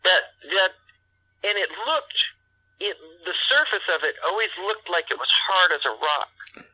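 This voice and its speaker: Curious talk-show host, very bright tone, husky edge. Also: medium pitch, sing-song, a little slow, rough recording. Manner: curious, asks short questions. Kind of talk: casual chat.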